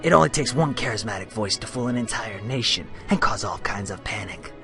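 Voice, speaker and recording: Man as low-voiced, menacing reporter pony over music. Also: lively intonation, a little fast. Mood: smug menace. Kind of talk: hard-boiled radio play acting. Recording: loud music under the voice.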